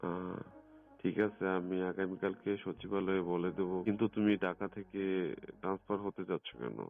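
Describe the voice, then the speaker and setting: A man with a deep voice talks privately on the phone, coaxing and insistent. The sound is thin and muffled like a lo-fi call recording, with music behind him. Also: flat delivery, normal speed, gravelly. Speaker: phone caller in a recorded conversation.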